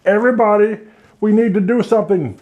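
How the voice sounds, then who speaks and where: A man's voice: sits high, brisk, highs cut off, voice pushed up, natural voice. A man voicing a crew of panicking male sailors, frantic and pleading. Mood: panicked.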